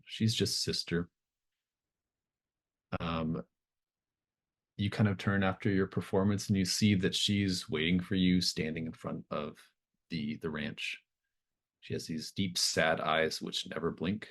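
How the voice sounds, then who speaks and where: Very deep-voiced man running a horror game. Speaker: game master. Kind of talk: improvised tabletop horror narration.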